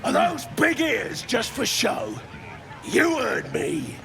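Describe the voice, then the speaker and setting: A man playing a boastful, belligerent goblin brute in a video game, with a gravelly voice and an English working-class accent. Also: very high-pitched, wildly swooping pitch, slow, bright, forceful tone, soft background music, some chatter behind, full character voice. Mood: belligerent, scornful. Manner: belligerent, taunting. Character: boastful, bullying goblin brute who loves drink. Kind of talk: video game character acting.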